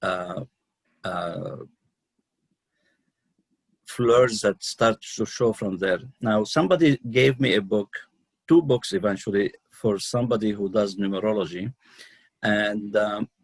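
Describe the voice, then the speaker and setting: A middle-aged man from Lebanon with a Lebanese accent, telling his own story as an interview guest, unscripted and halting, often pausing mid-sentence. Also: lowish voice, creaky, husky, dry recording. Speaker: guest, numerologist and healer.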